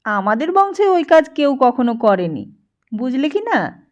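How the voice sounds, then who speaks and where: Woman voicing a chatty, high-pitched old male priest. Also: very animated, unhurried, lo-fi sound. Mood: rambling, gossipy. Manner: rambling, chatty, question after question. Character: garrulous, nosy old male village priest, imposing and familiar. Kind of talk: dramatised audiobook dialogue.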